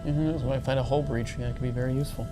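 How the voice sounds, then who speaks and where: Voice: medium pitch, steady tone, very fast, rough recording. A tabletop game player bantering casually in a wry, joking manner. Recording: background music under the voice.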